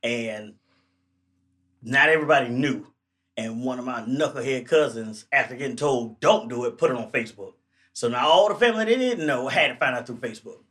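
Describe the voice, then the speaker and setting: A man's voice: medium pitch, lively intonation, brisk, a bit bright. A man co-hosting a casual podcast, telling stories in an easygoing way. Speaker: podcast co-host. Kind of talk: casual chat.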